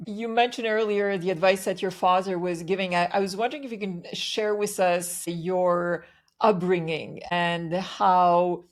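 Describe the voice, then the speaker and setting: A woman hosting a podcast, asking long, curious questions in a warm, conversational way. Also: on the low side, fairly even intonation, measured pace. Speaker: podcast host. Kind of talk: conversational interview.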